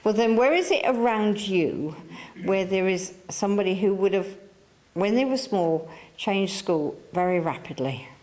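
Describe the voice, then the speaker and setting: Woman medium, mid-pitched, chatty and coaxing, addressing a church audience. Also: lively intonation, unhurried, few pauses, darkish tone. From England. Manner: coaxing, chatty, repeats herself. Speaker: spiritualist medium. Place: church hall.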